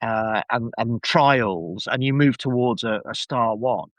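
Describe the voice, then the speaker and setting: Halting, conversational man, very dry sound. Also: medium pitch, fairly bright tone, no room sound. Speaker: podcast guest. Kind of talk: casual discussion of cult TV.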